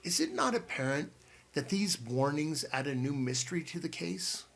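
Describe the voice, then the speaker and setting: A man's voice: a little high, very animated, normal speed, creaky, a bit bright, heavily acted. A man reading a pompous, formal boy detective. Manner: formal, precise wording. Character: bookish teenage boy leader, formal and pompous. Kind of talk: audiobook reading.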